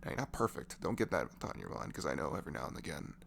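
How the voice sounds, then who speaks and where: A man speaking softly and breathily in an improvised, comforting ASMR voice message, rambling affectionately and informally as if to a close friend. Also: rumbling low, big pitch swings, rapid-fire, gravelly, slight background noise. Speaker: amateur ASMR audio creator playing a supportive friend.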